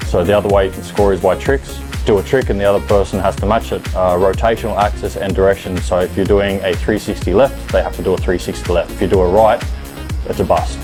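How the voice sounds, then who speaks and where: Low-voiced man walking through competition rules, music underneath. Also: fairly even intonation, fast. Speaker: rules explainer. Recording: with loud music bed.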